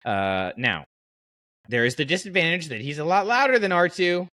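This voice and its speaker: Man, podcast host, bright forceful voice, dry close sound, colloquial, animated fan chat. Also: a little high, normal speed, no room sound. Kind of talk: casual fan podcast discussion.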